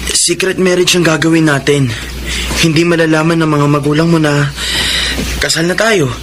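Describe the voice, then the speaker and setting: Humble young Filipino sweetheart played by a man for radio drama: earnest, romantic, lightish pitch, noisy backdrop. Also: steady tone, normal speed, close to an everyday voice. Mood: determined, romantic. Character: devoted, earnest young husband, humble pedicab driver.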